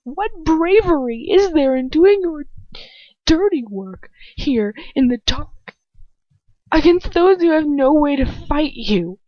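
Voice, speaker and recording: A woman's voice: high-pitched, animated, slow pace, no room sound, dull audio, voice pushed up. A woman voicing a proud, defiant young woman held captive, speaking heatedly in a fan-fiction reading.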